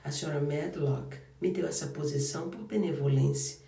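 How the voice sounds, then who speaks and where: A warm-hearted, sturdy young housemaid who chatters freely and stands her ground, played by a man reading aloud. Her voice is pitched up and sits mellow in tone. The room is roomy, and the mic is a little distant. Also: moderate pace, gravelly. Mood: chatty. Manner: chatty, good-humored and firm.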